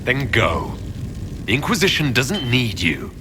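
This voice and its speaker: A stern leader of a fantasy inquisition in a video game. He is blunt and commanding, with a sharp, animated voice over some background noise. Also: mid-range voice, a little slow.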